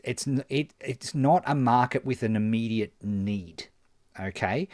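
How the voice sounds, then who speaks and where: A man answering viewer questions on a live stream as a marketing coach, animated and emphatic, often restarting his sentences. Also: fairly low pitch, no room sound, clean studio sound. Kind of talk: live-stream Q&A, casual explaining.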